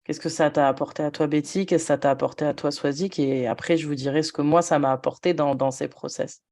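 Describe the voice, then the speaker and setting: A woman's voice: low-pitched, steady tone, fast talker, darkish tone. A woman who was once a nurse, hosting a podcast conversation and talking things through in a reflective way with frequent restarts. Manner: reflective, thinks aloud with many restarts. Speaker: podcast host, former nurse.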